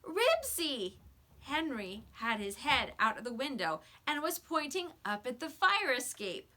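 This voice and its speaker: A woman reading a children's story aloud with expressive, scripted narration. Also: on the high side, a little slow.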